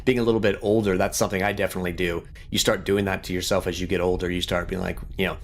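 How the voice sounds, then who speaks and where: A man's voice: low-pitched, little pitch movement, racing, a little hiss or hum. A middle-aged man giving casual, reflective gaming commentary in an earnest, rambling way. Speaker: gaming YouTuber.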